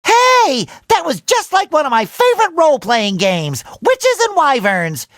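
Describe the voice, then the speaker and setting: A man voicing a cheerful, naive, excitable young male cartoon sea sponge in a video game, with a nasal, squeaky, childlike and eager delivery. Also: a little slow, no echo, studio quality, heavily acted. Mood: chatty, optimistic.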